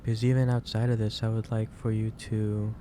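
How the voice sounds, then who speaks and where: A man playing a gentle, halting, monotone boss. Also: on the low side, background noise, close to an everyday voice. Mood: gently concerned. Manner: hesitant, halting, repeats phrases. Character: gentle, caring male office boss, worried and lecturing. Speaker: roleplay performer. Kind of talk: ASMR roleplay, soft-spoken monologue.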